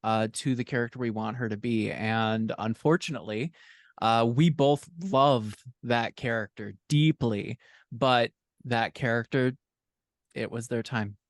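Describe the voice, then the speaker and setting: A man with a medium-pitched voice talks as a guest author on a podcast. His delivery is casual and conversational, recorded very dry with no room sound. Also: unhurried, dry recording. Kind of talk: casual interview chat.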